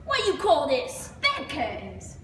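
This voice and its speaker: Callous gossiping woman, high sharp voice, radio play, distant mic. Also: huge pitch swings, unhurried, slight background noise, exaggerated voice. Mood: callous amusement. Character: callous, gossiping woman haggling over a dead man's things. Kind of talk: radio drama acting. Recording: distant, echoey, faint music behind.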